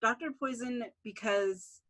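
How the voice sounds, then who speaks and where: A woman being interviewed as a writer, chatting casually in an animated, self-deprecating way. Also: fairly high pitch, a little slow.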